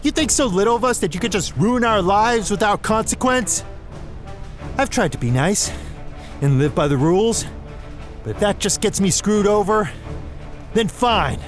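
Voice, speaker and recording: A man's voice: sits high, expressive, normal speed, long pauses, soft background music. A man playing a reluctant, exasperated young rookie henchman in an audio drama, awkward, put-upon and sarcastic. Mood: defiant.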